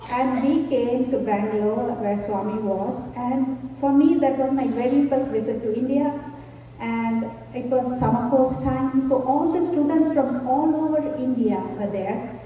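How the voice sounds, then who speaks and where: A woman from Sri Lanka delivers a devotional talk at a slow, measured pace. Her voice is dark and mellow, a little high for her sex. It reaches the mic from a distance, in a hall with long reverb and a dull, lo-fi sound. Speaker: invited speaker at a devotional gathering. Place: large hall. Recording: echoey hall from far off, dull, faint hiss.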